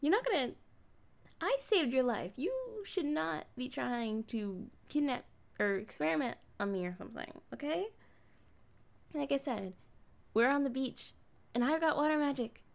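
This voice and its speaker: A young woman playing a kind, curious but guarded mermaid in a conversational ASMR roleplay, chatting casually with hesitant pauses. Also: sits high, lively intonation, gravelly. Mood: wary, warning.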